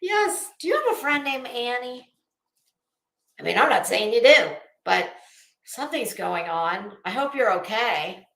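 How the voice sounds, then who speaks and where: A woman giving rambling, casual online commentary in a sarcastic, mocking manner, with halting delivery and drawn-out pauses. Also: on the high side, very animated, vocal fry, a bit bright. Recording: roomy, echoey sound.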